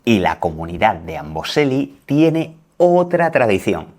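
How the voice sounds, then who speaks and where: A man teaching in a video, telling a simple story slowly for learners and rephrasing words as he goes. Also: medium pitch, very animated, a little mellow. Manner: clear explanatory delivery, rephrasing words.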